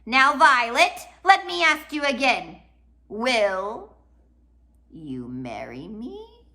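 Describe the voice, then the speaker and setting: Storybook villain, played by a woman: a scheming man, animated swings in pitch, drawn-out taunts, false kindness. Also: full character voice. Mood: mock-kindly menace. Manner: mock-kindly, taunting, drawn-out delivery.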